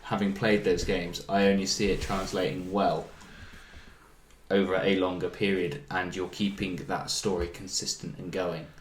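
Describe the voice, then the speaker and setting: A man's voice: low voice, level tone, normal speed. A man co-hosting a podcast in casual discussion, giving his opinions and rephrasing his points as he goes. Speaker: podcast co-host. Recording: echoey room with background noise.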